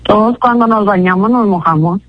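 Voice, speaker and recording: A woman's voice: medium pitch, a little fast. A woman on a phone call, patient and polite, often laughing as she answers a caller's odd questions. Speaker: prank call target. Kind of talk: phone conversation.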